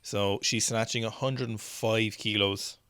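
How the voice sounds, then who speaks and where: Male host, low-ish voice, casual chatty commentary, thinks aloud, dry close-miked sound. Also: steady tone, unhurried, fairly bright tone, smooth, dry recording. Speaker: news show host. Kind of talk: casual video commentary on weightlifting clips.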